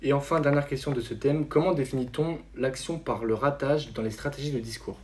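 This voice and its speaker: A man's voice: mid-range voice, a little fast. A young man hosting a student quiz show, reading questions and explanations in a scripted announcer tone. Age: young adult.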